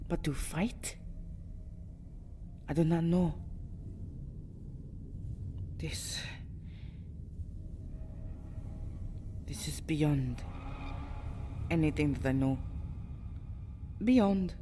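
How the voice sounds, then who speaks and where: A woman performs a centuries-old vampire girl in an ASMR roleplay drama, dark and mellow in tone. She is proud, protective and distraught, speaking theatrically with breathy, whispery dips. Clear ambient noise sits behind her. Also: deep voice, very slow, long pauses. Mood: helpless, uncertain. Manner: theatrical, often dropping into breathy whispers, swelling into agitated outbursts. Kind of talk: ASMR roleplay monologue, melodramatic acting.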